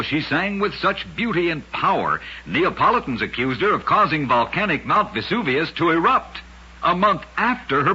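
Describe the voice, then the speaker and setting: On a muffled old radio recording, a man narrates short scripted tales with a very forceful, bright edge and a distinctly rough, husky texture. He delivers them as an emphatic, dramatic storyteller. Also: on the high side. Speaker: narrator and host of a radio oddities program.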